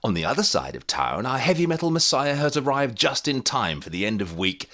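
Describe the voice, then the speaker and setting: A man's voice: medium pitch, sing-song, brisk, bright, forceful tone. A man playing a wry radio serial narrator, telling a comedy story in a mock-dramatic style.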